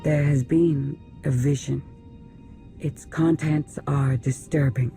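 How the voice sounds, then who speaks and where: A man's voice: on the high side, fairly even intonation, slow pace, dark tone, faint music behind. An actor playing a solemn, prophetic male alien council leader in a video game, with a formal delivery. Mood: solemn foreboding. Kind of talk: video game cutscene acting.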